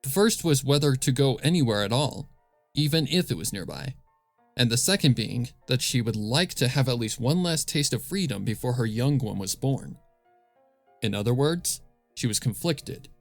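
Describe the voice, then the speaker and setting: A man's voice: mid-range voice, lively intonation, moderate pace, dry recording, soft background music. A man narrating scripted fan fiction as a storyteller, close on the microphone.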